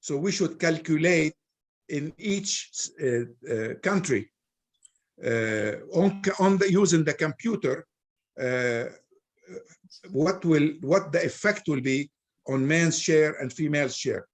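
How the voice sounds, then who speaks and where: A man who is a native Arabic speaker, answering questions as a scholar in an informal religious law discussion, explaining in a halting way. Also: fairly high pitch, expressive.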